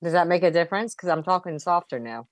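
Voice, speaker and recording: A woman's voice: fairly low pitch, animated, fast, no echo, studio quality. A woman chatting casually as a radio co-host, with a blunt, informal way of talking. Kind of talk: casual chat. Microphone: built-in laptop mic.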